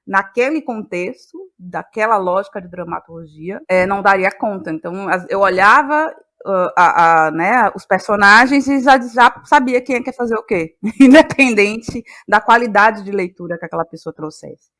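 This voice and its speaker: A woman's voice: mid-range voice, lively intonation, normal speed, a bit bright. Bahian Brazilian woman, reflective and emphatic. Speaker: guest, playwright, actress and journalist. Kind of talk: reflective interview talk.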